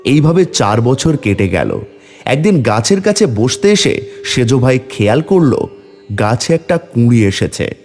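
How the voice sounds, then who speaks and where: Animated male storyteller, mid-pitched voice. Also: measured pace. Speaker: story narrator. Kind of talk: scripted dramatic storytelling narration.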